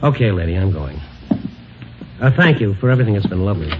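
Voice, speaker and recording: An actor playing a tough but compassionate newspaper reporter in an old-time radio drama, with clipped, wry delivery. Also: male voice, sits low, lively intonation, quick pace, background noise. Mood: sardonic, urgent. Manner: clipped, sardonic, hard-boiled. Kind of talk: old-time radio drama acting with first-person narration. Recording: old muffled radio recording with music.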